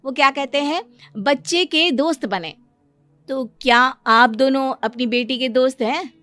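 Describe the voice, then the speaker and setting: A woman's voice: high voice, a little slow, fairly bright tone, no echo. A woman playing a courteous, emphatic working mother, acted as dialogue in a cartoon story. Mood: polite, earnest. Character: polite, admiring working mother, a family acquaintance. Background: music.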